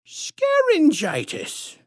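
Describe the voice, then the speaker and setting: A menacing clown woman, high-pitched, sing-song baby talk, odd strange timbre, storybook villain. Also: huge pitch swings, very slow, full character voice. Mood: sickly sweet menace. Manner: baby-talk rhymes, sickly sweet. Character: sinister huge female clown-faced child-taker. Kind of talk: storybook reading.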